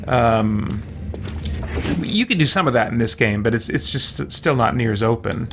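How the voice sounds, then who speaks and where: A man's voice: medium pitch, lively intonation, a little slow, over loud music. A man hosting a let's play, giving casual commentary as he plays. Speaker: gaming host.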